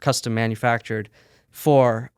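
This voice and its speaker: A man's voice: medium pitch, clear, resonant tone, no echo, clean studio sound. A man, the founder of a sock company, telling his story casually in a podcast interview with fillers and easy laughs. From United States. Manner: relaxed storytelling with frequent fillers and quick laughs.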